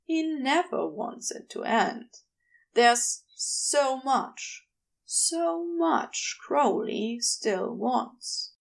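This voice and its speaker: Slow, creaky-voiced woman reading fiction. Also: high voice, animated. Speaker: podfic reader. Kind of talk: scripted fiction narration.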